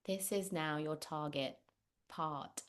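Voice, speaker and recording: A woman leading a guided meditation, speaking gently and unhurriedly with long pauses. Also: sits low. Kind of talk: guided meditation, spoken instruction.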